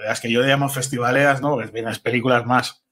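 A man's voice: mid-range voice, fairly even intonation, quick pace, crowd noise. A man with an accent from Spain chatting enthusiastically as a podcast guest, telling anecdotes and often repeating phrases for emphasis. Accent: Spanish from Spain. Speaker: interview guest, film festival enthusiast.

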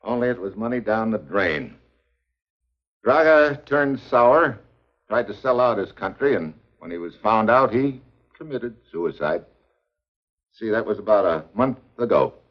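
An actor with an American accent playing a wry, hard-boiled secret agent in a 1950s television spy drama, wisecracking and sardonic. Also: male voice, fairly low pitch, expressive, a little slow. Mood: brisk, wry. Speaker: lead actor and first-person narrator. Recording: dull vintage TV soundtrack.

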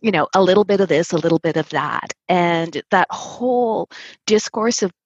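Husky, thoughtful woman on a muffled line. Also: on the low side, expressive, no echo. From Canada. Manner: thoughtful, measured, pauses to rephrase. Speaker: guest, social justice lawyer. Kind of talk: reflective interview answers.

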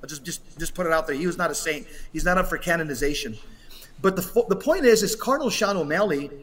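A man giving religious commentary as a podcast guest, speaking emphatically and haltingly with restated phrases, over a video call. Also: on the high side, animated, a bit bright. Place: hotel room, on a remote connection.

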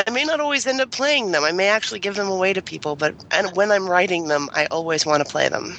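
A woman's voice: medium pitch, fast, a bit bright, rough recording. A woman chatting as a guest writer and voice actor on an interview panel.

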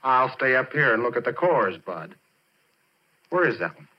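A man's voice: mid-range voice, sing-song, moderate pace. An actor in a 1940s radio drama playing a skinny, jittery oil-field man, with a hesitant, colloquial way of talking. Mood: tired, casual. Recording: muffled 1940s radio recording.

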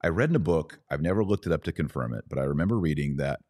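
Very deep-voiced man, dry close sound. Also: expressive, quick pace, a little mellow, no echo. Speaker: podcast host. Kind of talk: conversational religious discussion.

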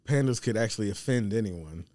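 A man's voice: lowish voice, lively intonation, brisk, darkish tone, a little hiss or hum. A man chatting casually and conversationally on a podcast, with an easygoing way of talking. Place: small podcast studio, indoors.

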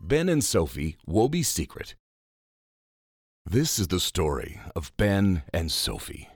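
A storybook narrator for a children's serial, voiced by a man, tells the tale in a deep, rough-edged voice. The pitch moves in lively swings. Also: takes it slowly, dry recording, heavily acted. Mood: storytelling.

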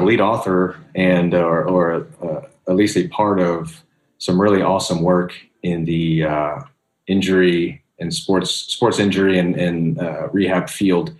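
A man hosting a podcast, reading an upbeat scripted intro and then chatting casually with jokes at his own expense. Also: sits low. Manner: upbeat, joking, self-deprecating. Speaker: podcast host.

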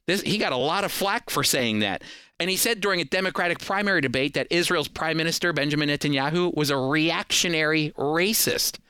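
A man's voice: a little high, fairly quick, bright, forceful tone, clean tone, dry recording. An American man hosting a radio program, delivering prepared commentary in a talk show style.

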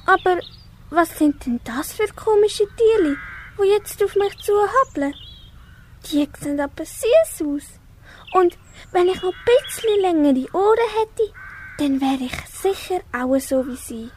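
A woman's voice: very high-pitched, expressive, a little mellow, a little hiss or hum. A young teenage girl playing a small, naive, anxious cat in an amateur radio play, meowing between phrases. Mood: curious, content. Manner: slow, punctuates speech with meows. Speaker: school pupil in a radio workshop.

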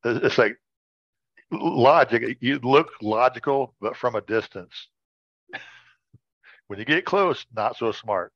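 A man's voice: medium pitch, animated, takes it slowly, long pauses, a bit bright, no room sound. Man riffing playfully, dry dull recording. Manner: playfully riffing on words, repeating them. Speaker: word game contestant. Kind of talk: casual comic banter.

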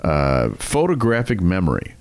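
A man's voice: low-pitched, wildly swooping pitch, moderate pace. A man hosting a podcast in a casual, jokey way, chatting informally and laughing easily. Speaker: podcast host and interviewer. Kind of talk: casual banter and show promos.

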